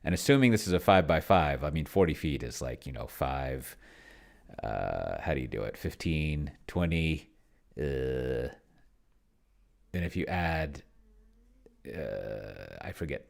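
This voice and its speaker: A man with a low, gravelly voice talks casually through his own game as host. His pitch swings in a lively way while he thinks aloud, rambling and hesitating, often leaving a thought half finished. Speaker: solo tabletop roleplayer and host, narrating own play session. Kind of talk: casual unscripted play-along commentary, thinking aloud.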